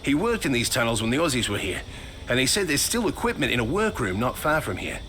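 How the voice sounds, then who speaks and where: A practical, resourceful survivor in a video game cutscene. His medium-pitched voice moves up and down a lot, with clear ambient noise behind it. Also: big pitch swings, quick pace, fairly bright tone. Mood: businesslike. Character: practical, resourceful male survivor planning repairs.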